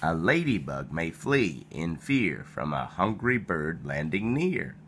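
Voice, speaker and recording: A man reading a children's picture book aloud in an expressive storytelling style, animated and playful. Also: low-pitched, slow pace, slight background noise. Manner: animated, with playful exclamations and sound effects.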